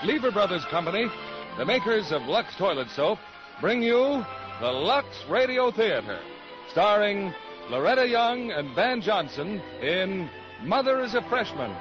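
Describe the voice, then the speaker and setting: A male 1940s radio announcer, high-pitched and swooping, projects grandly and formally. Loud music plays behind him on a dull vintage broadcast. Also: big pitch swings, measured pace. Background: loud theme music.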